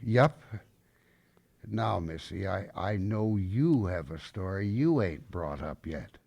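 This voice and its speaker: Audio drama role: old grandpa played by a man, gruff but soft-hearted, low register, folksy halting delivery, dry sound. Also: lively intonation, dry recording, heavily acted. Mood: subdued, wistful. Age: older.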